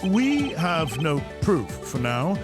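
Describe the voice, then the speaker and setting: A man voicing a composed, serious prosecutor in a video game, speaking in a measured, formal, precise way. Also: a little high, very animated, loud music behind the voice, voice pushed down. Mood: analytical. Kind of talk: video game dub played live.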